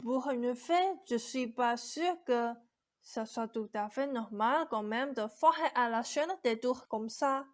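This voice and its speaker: A woman's voice: a little high, takes it slowly. A woman acting as a sullen, skeptical young heroine in a fairy-tale radio play, dry, stubborn and guarded. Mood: dry, sceptical. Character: sullen, skeptical young Cinderella who challenges her fairy.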